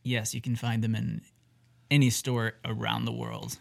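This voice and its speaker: A man's voice: mid-range voice, sing-song, moderate pace, vocal fry. A man co-hosting a comedy podcast, speaking in a dry way. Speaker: podcast co-host. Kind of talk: casual podcast chat.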